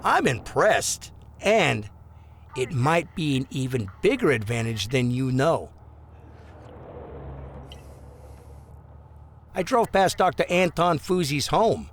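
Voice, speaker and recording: Crooked small-town cop played by a male actor: higher-set, very animated voice, smooth bully, mock-friendly pressure, audio drama. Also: a little slow, a little hiss or hum. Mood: sly persuasion.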